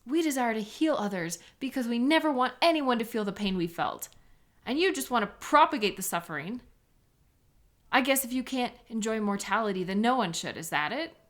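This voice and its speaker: A woman's voice: on the high side, animated, brisk, lightly acted. A woman playing an embittered, contemptuous police sketch artist with a sarcastic, scornful manner in a dramatic audio roleplay. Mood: angry, disgusted.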